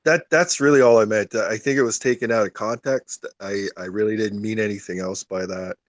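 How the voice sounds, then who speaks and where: A man with a fairly low voice talks in a dry, echo-free sound. He is casual and earnest, explaining himself as a participant in a group call. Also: steady tone, a little fast. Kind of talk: casual chat in a live group audio call.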